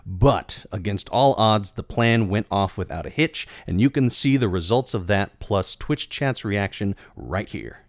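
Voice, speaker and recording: Male narrator, lowish pitch, very dry sound, scripted explainer, measured, articulate. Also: sing-song, no echo. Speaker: video creator and narrator.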